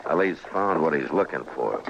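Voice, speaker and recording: A gruff American man of the Old West, with an extremely deep voice and gravel in it. He is plain-spoken and laconic, heard on a noisy, muffled old radio recording. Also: big pitch swings, normal speed, heavily acted. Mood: calm. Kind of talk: old-time radio western acting.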